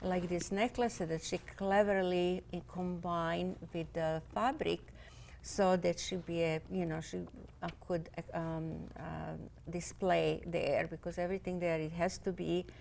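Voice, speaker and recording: A woman's voice: fairly low pitch, steady tone, a little slow, vocal fry, a little mellow, a little hiss or hum. A middle-aged woman hosting a cable fashion interview show, speaking in with many fillers and hesitations. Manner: hesitant, many fillers, non-native grammar.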